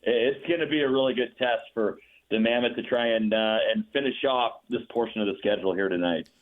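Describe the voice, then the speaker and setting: A man's voice: medium pitch, a little fast. A man calling in as a guest, talking through stats in a chatty, fact-heavy way with lots of restarts. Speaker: radio play-by-play announcer, guest. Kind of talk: casual radio interview. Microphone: phone line. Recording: tinny phone line.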